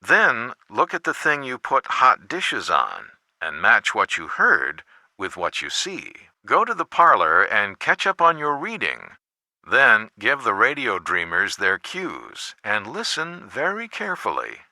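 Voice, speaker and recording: A helpful video game mentor with a low-leaning, very animated voice. He is folksy and coaxing, handing out hints in a knowing, encouraging way. The sound is crisp-edged, bright and close. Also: a little slow, no echo, full character voice. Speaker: hint giver in an adventure game. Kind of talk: scripted video game voice acting.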